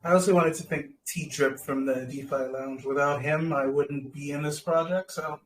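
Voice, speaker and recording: A man's voice: a little high, fairly even intonation, moderate pace, very noisy. A man hosting a live audio chat, upbeat and joking with the audience. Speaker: host.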